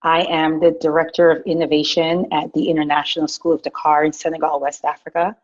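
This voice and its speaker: A middle-aged woman from Guyana, an educator, telling her leadership story in a lively, chatty way with fillers and restarts. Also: low voice, brisk. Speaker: director of innovation at a school. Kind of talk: personal leadership story, casual. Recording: dull lo-fi recording.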